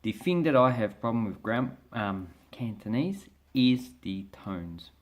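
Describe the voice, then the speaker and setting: An Australian man giving a casual spoken lesson to camera, talking in a relaxed, hesitant way with many fillers and restarts as he shows pages from a book. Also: medium pitch, unhurried. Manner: explains by showing pages from a book. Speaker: language vlogger.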